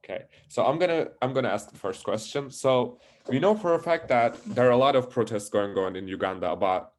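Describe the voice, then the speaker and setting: A man's voice: medium pitch, brisk. A young man from Turkey, asking an interview question a bit hesitantly. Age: young adult.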